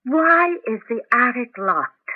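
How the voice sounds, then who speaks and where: An actress in a 1980s radio drama playing a suspicious wife, pleading and insistent, with a husky voice. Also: female voice, a little high, sing-song, slow, dry recording, heavily acted. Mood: anxious, insistent. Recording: tinny, dry old radio broadcast.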